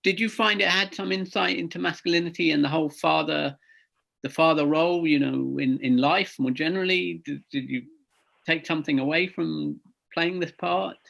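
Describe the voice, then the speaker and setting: Fields: Interviewer, man, gravelly voice. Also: a little high, fairly even intonation, normal speed, a bit bright, poor audio. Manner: attentive, frequent short agreements. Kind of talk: interview questions.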